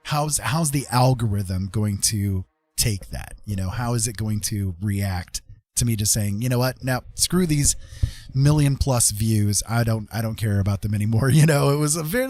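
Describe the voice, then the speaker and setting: A middle-aged man hosts a casual livestream chat. He talks in a rambling, self-deprecating way at a medium pitch, with music playing faintly behind him. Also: lively intonation, unhurried, darkish tone, dry recording. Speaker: livestreamer hosting an art tutorial and chat. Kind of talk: casual chat to a live chat room.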